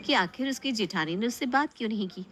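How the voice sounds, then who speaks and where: A woman's voice: a little high, lively intonation, quick pace, fairly bright tone. A woman storyteller reading a scripted family tale. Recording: clear background noise.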